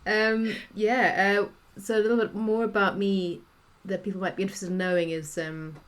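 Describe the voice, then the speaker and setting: A woman's voice: mid-range voice, level tone, moderate pace, slight background noise. A middle-aged South African woman, a guest coach chatting on a podcast, talking freely with many restarts and fillers. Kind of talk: podcast interview conversation.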